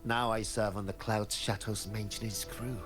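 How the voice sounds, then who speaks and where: A man's voice: lowish voice, little pitch movement, moderate pace, natural voice. An actor playing a polite, deferential male mechanist in a fantasy game, speaking in a formal manner. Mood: courteous. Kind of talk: scripted game voice acting. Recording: music under the voice.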